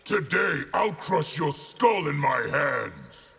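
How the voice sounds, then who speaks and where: Gravelly, brutal warlord general. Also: male voice, on the high side, very animated, slow, loud music behind the voice, heavily acted. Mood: scornful. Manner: scornful, menacing. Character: brutal, fierce male otherworldly warlord general, contemptuous. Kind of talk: video game villain banter.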